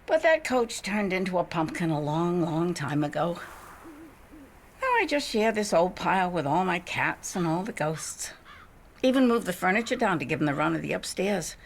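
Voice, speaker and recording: Creaky, low-voiced old socialite. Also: female voice, very animated, normal speed, slight background noise. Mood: wistful. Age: older. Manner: theatrical, reminiscing. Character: faded socialite widow, old woman, wistful and haunted. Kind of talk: dramatic game monologue.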